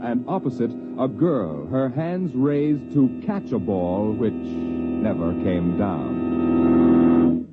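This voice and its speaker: A man delivers grave, theatrical narration for a vintage radio drama. His medium-pitched voice rises and falls widely over deliberate phrases. The old recording is muffled, with music clearly underneath.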